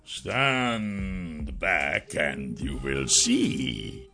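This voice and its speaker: A bald, scheming sorcerer from a fantasy audiobook. He is strong-voiced yet deferential, drawing out every word very slowly and intensely, with a creaky, gravelled tone over music. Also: sits low, very animated, sharp tone, pitched below the performer's own voice, exaggerated voice. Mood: ominous. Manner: slow, drawn-out, intense.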